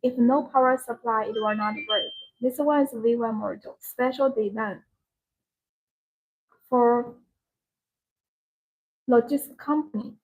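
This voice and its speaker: A woman's voice: a little high, a little slow, pauses often, rough recording. A woman who speaks English as a second language, presenting products on a live sales stream in simple, short, repeated phrases. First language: not English.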